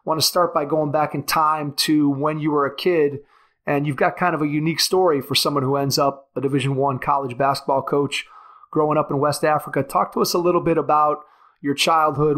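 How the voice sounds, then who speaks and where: Dry-voiced podcast host reading intros. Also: male voice, a little high, fairly bright tone, no echo. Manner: crisp announcer delivery. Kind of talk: scripted intro and ad reads, then interview questions.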